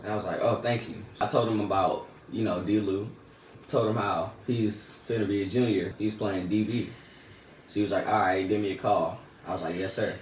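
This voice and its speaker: A teenage boy casually telling a story about his day in slangy, laid-back talk that often trails off soft and quiet. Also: on the low side, fairly even intonation, moderate pace, recorded from a distance. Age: teens. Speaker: vlogger. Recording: echoey room, a bit off-mic, faint noise.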